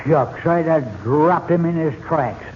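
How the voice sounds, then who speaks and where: An actor playing a trigger-happy, grumbling old cowboy sidekick in a radio western, with a folksy American backwoods dialect. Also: male voice, a little high, expressive, takes it slowly. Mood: feisty. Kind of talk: 1940s-50s radio western acting. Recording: muffled, noisy old radio recording.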